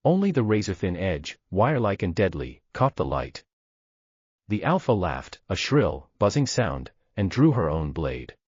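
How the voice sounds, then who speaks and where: Male narrator, very deep voice, lively dramatic delivery, dull lo-fi sound. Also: a little slow, husky, no room sound. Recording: dull dry lo-fi recording.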